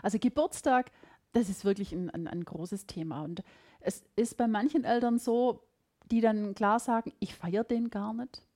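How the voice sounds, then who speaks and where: Lively Swabian woman podcast host. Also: a little high, normal speed. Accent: Swabian German. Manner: lively, emphatic, reflective. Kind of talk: casual conversation.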